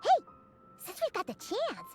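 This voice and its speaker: Game role: excitable little fairy sidekick, squeaky, childlike, sky-high and very animated. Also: female voice, unhurried, heavily acted. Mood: excited. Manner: chirpy, speaks of herself in third person. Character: tiny floating fairy companion, childlike, excitable, nosy. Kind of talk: video game voice acting. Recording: loud music behind.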